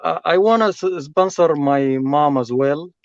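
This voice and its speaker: Higher-voiced Sudanese man on muffled call. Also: a little slow, no room sound. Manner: polite, hesitant non-native English. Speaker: caller. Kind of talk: conversational questions to an advisor.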